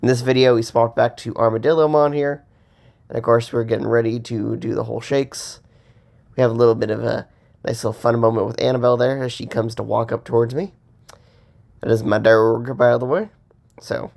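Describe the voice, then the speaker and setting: A man with a cold casually commenting on gameplay as host, rambling with fillers and pausing for breath. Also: mid-range voice, vocal fry, darkish tone. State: has a cold.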